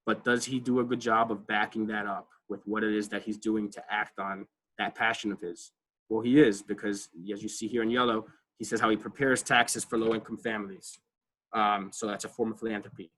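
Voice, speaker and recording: Bright-toned man leading an online class. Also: fairly low pitch, little pitch movement, fairly quick, low-quality recording. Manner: conversational, many fillers, frequent "right?" checks. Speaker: college advising workshop presenter. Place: online video call.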